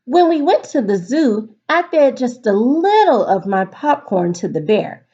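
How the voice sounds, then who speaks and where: Animated woman teacher with a slightly husky voice. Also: on the high side, unhurried. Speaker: teacher reading aloud to students. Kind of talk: picture-book read-aloud for a virtual class. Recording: dull, lo-fi recording.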